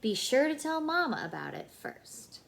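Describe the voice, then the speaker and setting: A woman's voice: sits high, very animated, normal speed, creaky, pitched above the performer's own voice, exaggerated voice. A woman voicing a cocky, know-it-all older brother bear cub in a storybook.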